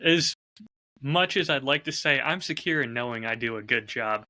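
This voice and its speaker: A man with a very bright, crisp-edged voice at a middling pitch hosts a casual podcast. He speaks reflectively and candidly, laying out his thoughts like someone used to telling stories. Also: expressive, normal speed. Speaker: podcast host and audio drama creator.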